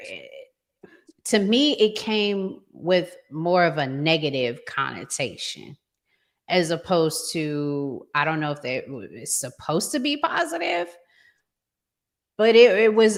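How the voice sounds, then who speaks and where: A woman's voice: lowish voice, fairly bright tone. An American woman hosting a casual talk show in a conversational way. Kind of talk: casual relationship talk show with sponsor read.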